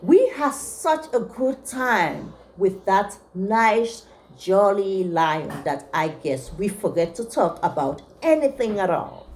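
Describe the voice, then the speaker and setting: A woman voicing a cheerful, excitable fat little male rabbit from a storybook, with drawn-out exclamations. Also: mid-range voice. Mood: bubbly.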